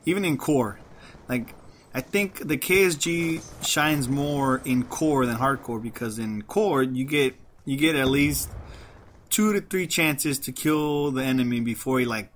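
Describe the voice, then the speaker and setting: A man's voice: medium pitch, a little slow, a bit bright, a little hiss or hum. A man with a light Mexican accent, rambling through casual gameplay commentary and laughing at himself. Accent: light Mexican Spanish. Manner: rambling, self-deprecating, frequent restarts and laughs. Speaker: gaming commentator.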